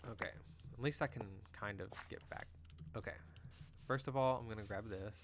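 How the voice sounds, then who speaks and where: A man's voice: lowish voice, fairly quick. Nasal, chatty man streaming a game. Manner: chatty and excitable, lots of "like" and "you know". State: just getting over an illness. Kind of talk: casual live gameplay commentary. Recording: loud background noise.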